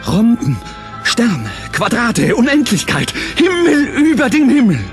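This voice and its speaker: A man narrating a German radio play in a theatrical, deliberate scripted style. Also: very high voice, wildly swooping pitch, soft background music.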